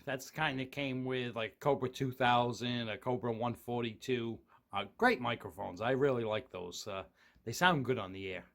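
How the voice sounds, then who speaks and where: A man's voice: mid-range voice, a bit bright. A middle-aged man narrating a casual, unscripted tour in a plainspoken, explanatory way. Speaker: hobbyist video host. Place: home room with radio gear, indoors.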